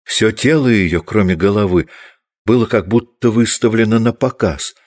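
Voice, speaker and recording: Male audiobook narrator, low register, measured scripted storytelling. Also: a little mellow.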